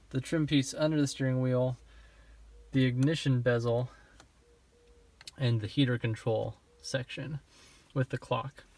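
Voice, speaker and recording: A man giving a casual, low-key hands-on tutorial in a plain explaining way, on a noisy amateur recording. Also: a little mellow. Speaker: DIY car video host.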